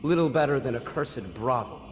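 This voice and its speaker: Stern game plotter, male actor: medium pitch, formal, decisive, echoing hall, music behind. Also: lively intonation, moderate pace, lightly acted. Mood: grave, resolute. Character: stern, calculating former religious overseer, a conspirator. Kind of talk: video game dialogue. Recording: long reverberant hall.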